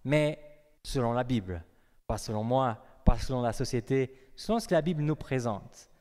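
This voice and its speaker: A man's voice: mid-range voice, lively intonation, moderate pace, darkish tone. A young man preaching a sermon in a conversational way, asking his congregation questions. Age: young adult. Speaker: pastor. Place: church hall. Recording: long reverb of a hall.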